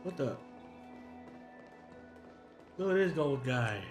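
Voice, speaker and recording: A man's voice: medium pitch, lively intonation, takes it slowly, soft background music. A man chatting casually as a game streamer during a live stream.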